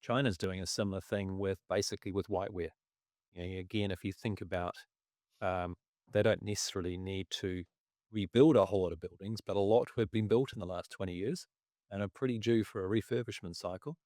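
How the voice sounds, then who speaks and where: A man chats about markets in a halting, casual way, often stopping to clear his throat. His voice sits a little low and is wearing thin as he loses it. The sound is dry and close, with no room around it. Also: fairly even intonation, darkish tone, no room sound. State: losing his voice. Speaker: investment commentator.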